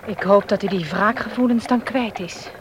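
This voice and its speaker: A young woman played by an actress with a mid-pitched voice, heard over clear vehicle noise in a radio drama. She is frank and concerned, asking questions in an easy conversational way. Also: normal speed, lightly acted. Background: vehicle sound effects.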